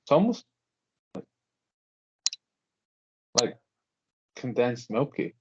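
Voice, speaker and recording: A man co-hosts a casual podcast, slipping dry jokes into the conversation. His voice is mid-pitched and unremarkable in tone. The sound is a little dull and lo-fi. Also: big pitch swings, slow pace, a little mellow, husky. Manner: dry, wry quips. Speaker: podcast co-host. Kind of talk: casual chat.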